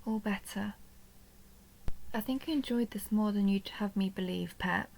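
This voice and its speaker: Woman playing a creaky, breathy, tender vampire countess. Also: medium pitch, normal speed, slight background noise, close to an everyday voice. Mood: teasing, doting. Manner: soft, breathy, often near a whisper, coaxing. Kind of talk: intimate roleplay audio drama, addressed to the listener.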